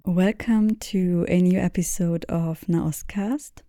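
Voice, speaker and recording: A woman's voice: on the low side, fairly even intonation, slow pace. A woman hosting a podcast, introducing the topic and her guests in a conversational way. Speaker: podcast host. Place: indoors, sitting with guests in Berlin.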